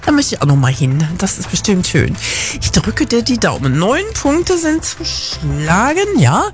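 Female radio presenter for a quiz segment: animated, informal, encouraging, with a music bed underneath. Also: medium pitch, unhurried. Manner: informal, encouraging, frequent short interjections. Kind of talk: chatty live radio quiz moderation. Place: radio studio.

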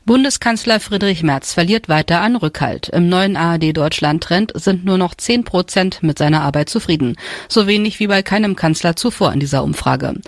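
A man reading national radio news in a formal, steady way. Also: sits high, normal speed, dry recording, studio quality. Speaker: newsreader. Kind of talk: scripted national news read.

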